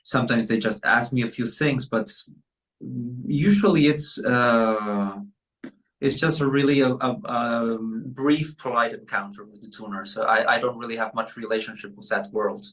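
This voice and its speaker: A man's voice: mid-range voice, normal speed, a bit bright, recorded from a distance, low-quality recording. A man with a Spanish accent, a concert pianist, answering interview questions hesitantly with many fillers.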